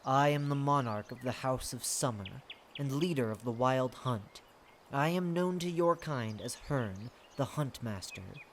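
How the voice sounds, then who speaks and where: A performer voicing a commanding, gracious fae monarch in a formal, measured radio-play manner. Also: lively intonation, a little hiss or hum. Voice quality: deep.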